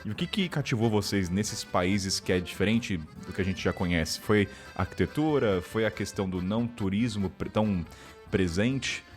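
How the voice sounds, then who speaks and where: A man hosting a casual podcast, talking fast and joking at his own expense. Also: on the low side, soft background music. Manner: fast, joking, self-mocking banter. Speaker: podcast host.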